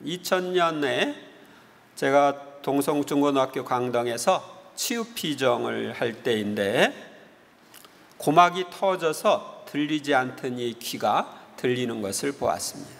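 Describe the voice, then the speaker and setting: High-voiced priest preaching in echoing church. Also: male voice. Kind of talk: homily with anecdotes. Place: large church interior. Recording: reverberant church hall with long echo.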